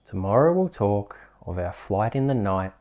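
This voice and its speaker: An Australian man hosting and reading bedtime stories in a soft, soothing, unhurried ASMR storytelling manner. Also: on the low side, big pitch swings, dull audio. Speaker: host reading bedtime stories on a video channel.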